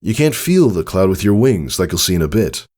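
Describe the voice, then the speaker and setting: Fatherly pegasus stallion, acted by a male narrator, storybook mentor, folksy, assured, deep with lively swings. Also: brisk. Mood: earnest, instructive. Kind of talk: character dialogue in audiobook reading.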